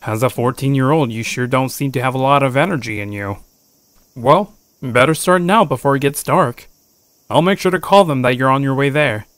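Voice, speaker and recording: A man's voice: medium pitch, normal speed, a bit bright, no echo, close to an everyday voice. A man playing a nagging but sensible parent in a scripted audio drama. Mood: nagging, reasonable.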